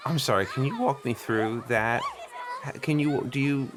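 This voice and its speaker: A man's voice: medium pitch, normal speed, noisy background, natural voice. A man playing a precocious, inquisitive eight-year-old boy who stammers and rambles earnestly in an improvised comedy radio play. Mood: baffled, insistent. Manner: hesitant stammers, rambling run-on questions.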